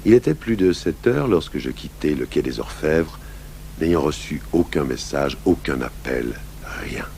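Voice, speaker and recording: A patient, gruff middle-aged detective with an extremely low, gravelly voice. He speaks deliberately, probing and weighing things, in an old radio crime drama recording with faint hiss. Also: animated, a little mellow, heavily acted. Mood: reflective, grave. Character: calm, shrewd middle-aged male police commissioner, patient and gruff. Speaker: lead detective and first-person narrator. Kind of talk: 1980s French radio crime drama acting.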